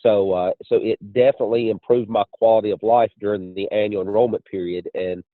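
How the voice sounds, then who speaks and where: A man giving a measured, explanatory testimonial as an insurance agent on a conference call. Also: lowish voice, fairly even intonation. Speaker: insurance agent and training director. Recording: muffled phone line with room echo.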